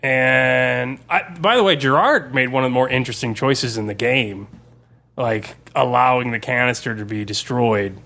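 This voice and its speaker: Man, bright mid-range voice, podcast co-host, informal gamer chat, conversational. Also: mid-range voice, normal speed, creaky. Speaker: game master and podcast co-host. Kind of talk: casual podcast discussion of a tabletop game campaign.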